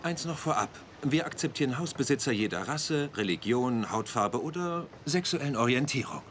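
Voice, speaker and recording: A man's voice: medium pitch, animated, moderate pace, a little hiss or hum, lightly acted. A man acting a jovial property developer with hearty salesman patter in a dubbed German TV drama. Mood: hearty, welcoming.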